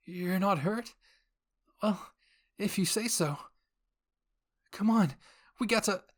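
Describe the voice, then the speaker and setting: This is a gentle teenage soldier boy played by a story narrator. His voice sits high, with an anxious, earnest and concerned way of asking things. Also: slow pace. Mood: concerned, hesitant.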